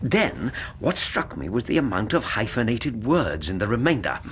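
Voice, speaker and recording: An actor in a radio drama playing an eager, self-satisfied English gentleman, explaining things a little pompously. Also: mid-range voice, sing-song, moderate pace, slight background noise. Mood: smugly explaining.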